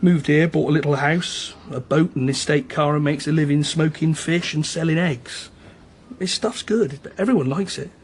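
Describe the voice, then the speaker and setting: A man's voice: fairly high pitch, moderate pace, husky. A man playing a candid, chatty small-town police chief in a radio drama, conversational and slightly hesitant. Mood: earnest, confiding. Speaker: actor in a radio crime drama. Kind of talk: naturalistic radio drama dialogue.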